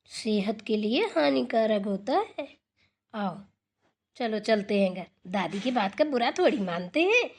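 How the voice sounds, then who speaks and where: Cartoon village mother-in-law, woman performer: high voice, coaxing, animated, motherly, good-humoured. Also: exaggerated voice. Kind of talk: Hindi village family cartoon acting.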